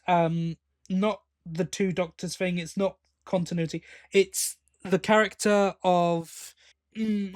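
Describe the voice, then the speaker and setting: High-pitched man chatting on a podcast. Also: no echo, clean studio sound. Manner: enthusiastic, appreciative. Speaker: podcast co-host. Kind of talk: casual fan discussion.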